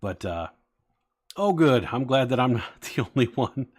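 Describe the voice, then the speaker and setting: A man's voice: medium pitch, very animated, moderate pace, slightly rough. A man in his forties chatting casually with live-stream viewers, rambling with many fillers as he talks. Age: 40s. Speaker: streamer building toy sets.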